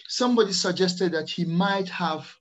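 Higher-voiced man, measured and hesitant. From Ghana, the Netherlands and France. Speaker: interview guest, early years teacher. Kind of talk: personal storytelling in an interview. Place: small plain room indoors, on a video call. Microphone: computer microphone on a video call.

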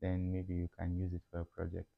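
A young Nigerian man with a Nigerian accent, speaking to camera as a factory owner teaching how to set up a business, measured and advisory with frequent fillers. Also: deep voice, completely flat delivery, vocal fry, phone-quality sound. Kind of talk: instructional talk to camera. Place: indoor room with curtains. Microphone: lapel mic.

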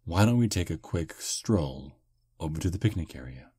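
A man voicing a charming, polite male vampire on a first date, speaking softly and flatteringly in an ASMR roleplay. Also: low voice, moderate pace, slightly rough, no echo. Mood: smooth flirting. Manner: soft, flattering, gentlemanly. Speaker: voice actor.

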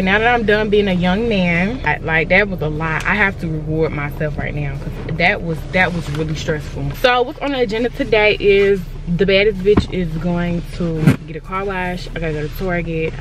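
Fired-up young woman vlogging in car. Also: on the low side. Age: young adult. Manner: blunt, fired-up, swears freely, talks to viewers as "y'all". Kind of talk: casual vlog chat to camera. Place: inside a car. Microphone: phone camera on dashboard. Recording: clear car road noise. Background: car and road noise.

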